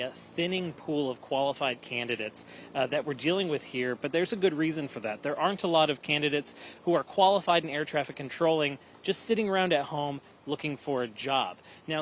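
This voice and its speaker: A man reporting live for TV news with an emphatic, slightly halting delivery. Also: fairly high pitch, fairly bright tone, slight background noise. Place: live at an airport.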